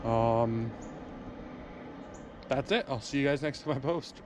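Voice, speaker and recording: Male vlogger, mid-pitched, slightly sniffly, relaxed casual delivery, recorded outdoors with noticeable ambient traffic noise. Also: slow pace. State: sniffly with a runny nose. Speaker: vlogger collecting bottles and scrap. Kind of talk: casual outdoor vlog chat. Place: outdoors on residential streets. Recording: clear outdoor street and vehicle noise.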